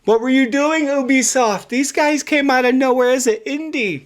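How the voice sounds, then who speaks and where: A man co-hosting a podcast, chatting casually in an excitable, slangy and emphatic way. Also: very high-pitched, normal speed. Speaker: podcast co-host. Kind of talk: casual chat about video games and films.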